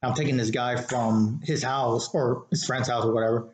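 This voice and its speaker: A man's voice: medium pitch, steady tone. Echoey, rambling man telling casual stories. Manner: rambling and halting, peppered with "like". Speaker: show host, rideshare driver telling stories. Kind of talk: casual chat, storytelling. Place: living room, indoors. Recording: roomy, echoey living room.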